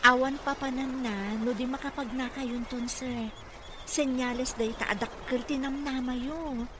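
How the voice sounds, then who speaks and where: A woman's voice: high-pitched, fairly even intonation, unhurried. An actress with an Ilocano accent playing a devoted, respectful female physical therapist in a radio drama. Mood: encouraging. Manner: earnest, respectful. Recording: noisy radio drama recording.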